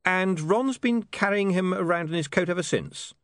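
A man voicing an earnest, brisk young male reporter in an audiobook. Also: high-pitched, a bit bright, no echo, clean studio sound, voice pushed up. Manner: earnest, brisk, slightly flustered.

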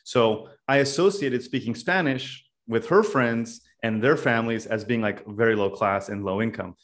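A young man from California, a podcast guest telling his story in a loose, casual way with many pauses and "uh"s. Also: medium pitch, fairly bright tone. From California, USA. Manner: loose, thinks aloud with many "uh"s.

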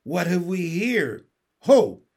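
A man's voice: fairly high pitch, lively intonation, measured pace. A man voicing a pompous, impatient and self-satisfied storybook king with a magnificent, commanding manner. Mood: magnificent, demanding. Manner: magnificent, commanding, easily irritated.

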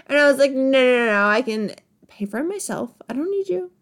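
Animated young woman telling a story. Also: sits high, a little fast. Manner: laughing, animated storyteller, acts out quotes. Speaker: podcast co-host. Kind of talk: casual storytelling chat. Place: living room.

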